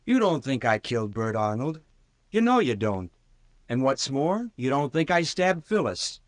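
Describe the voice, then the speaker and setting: A man's voice: medium pitch, sing-song, normal speed, no room sound, studio quality, lightly acted. An actor playing a cocky, defiant young man who needles and accuses, in an old-time radio drama. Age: young adult.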